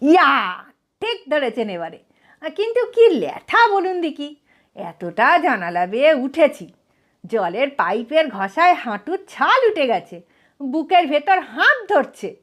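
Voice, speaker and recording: Woman playing a fussy, high-voiced absent-minded male burglar. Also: very animated, slow, fairly bright tone, exaggerated voice. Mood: weary, complaining. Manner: polite, complaining, exclamatory. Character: short absent-minded male burglar, apologetic yet indignant and chatty.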